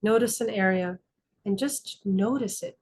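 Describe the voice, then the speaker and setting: A woman leading a guided meditation as a teacher, calm and instructive, speaking in slow, deliberate phrases with frequent pauses. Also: medium pitch, slightly rough. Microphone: video call class.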